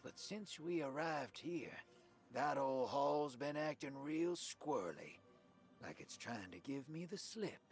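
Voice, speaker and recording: An actor playing a folksy, roguish ship pilot in a video game, with slangy drawling talk and a gravelly voice. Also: male voice, medium pitch, lively intonation, slow pace, soft background music. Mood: wry, uneasy.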